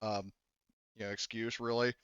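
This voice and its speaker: A man's voice: lowish voice, level tone, normal speed, a bit bright, clear, resonant tone, no room sound, highs cut off. A man acting as game master, talking casually in a rambling, informal way with some swearing. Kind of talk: casual tabletop game narration.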